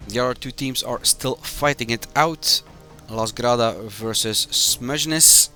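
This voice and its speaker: A man from Belgium, a native Dutch speaker with a Belgian Dutch accent, commentates a live game stream in halting, short phrases. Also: medium pitch, sharp tone, soft background music. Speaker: esports match commentator, community manager.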